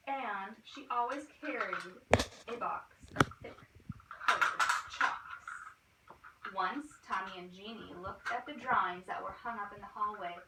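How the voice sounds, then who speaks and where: A woman reading a picture book aloud to children like a teacher, expressively, with small asides and questions to her listeners. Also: mid-range voice. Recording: roomy, distant mic, clear noise and clicks.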